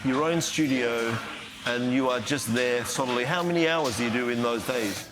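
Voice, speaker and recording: A man's voice: mid-range voice, normal speed. A middle-aged man from India, a host asking friendly, encouraging questions in a casual interview.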